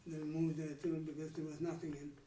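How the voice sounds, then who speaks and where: A man's voice: a little high, monotone, mellow, roomy sound, recorded from a distance, rough recording. A man in his nineties with a Dutch accent, recalling his childhood in a candid interview and often stopping to restart his sentences. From Netherlands. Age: 90s. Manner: halting, many restarts and trailing pauses. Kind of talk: candid life-story interview.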